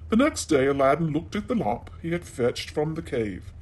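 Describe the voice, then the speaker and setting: A man narrates a children's storybook. His voice sits a little high and moves up and down in a lively, animated way, as a storyteller does for small listeners. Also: normal speed.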